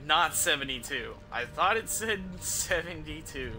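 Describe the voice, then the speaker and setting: A man's voice: on the high side, expressive, a little slow, bright, forceful tone, soft background music, rough recording. A young man casually commentating on his game live, rambling and swearing as he thinks aloud. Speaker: game streamer.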